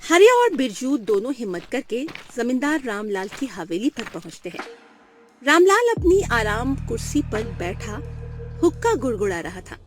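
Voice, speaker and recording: A woman's voice: fairly high pitch, sing-song, moderate pace, faint music behind. A woman giving scripted storybook narration for a cartoon, as the narrator of a moral tale.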